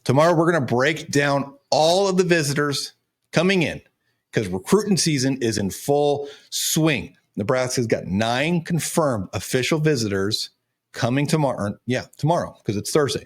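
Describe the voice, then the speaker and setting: Male sports analyst, 30s, emphatic commentary delivery, voice strained and cracking from losing it. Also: mid-range voice. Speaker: sports show host and recruiting analyst. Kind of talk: college football recruiting commentary.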